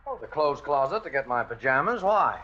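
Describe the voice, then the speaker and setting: An American actor playing a plain-spoken, puzzled working husband who suspects little, in a 1940s-style radio suspense drama. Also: male voice, fairly high pitch, big pitch swings, fairly quick, pushed and bright, full character voice. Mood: puzzled, querulous. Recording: muffled old radio recording.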